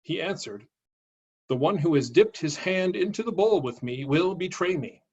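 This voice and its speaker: Husky higher-voiced man reading scripture. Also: lively intonation, normal speed, darkish tone. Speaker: pastor. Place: indoors, on a video call. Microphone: video call microphone. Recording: dull lo-fi video call audio.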